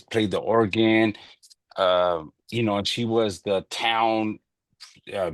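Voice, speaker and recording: Lower-voiced man from Southern California, podcast guest, playful and joking, slips in Spanish, dry close mic. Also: fairly bright tone, no room sound. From El Monte, California. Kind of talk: casual chat.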